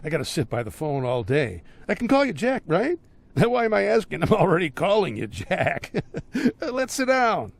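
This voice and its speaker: Theatrical, creaky-voiced crime boss, swooping pitch. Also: male voice, huge pitch swings, moderate pace, no echo, full character voice. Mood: jovial, coaxing. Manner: theatrical, rambling, folksy sarcasm with rhetorical questions. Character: jovial, menacing, talkative small-town crime boss. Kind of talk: video game cutscene acting.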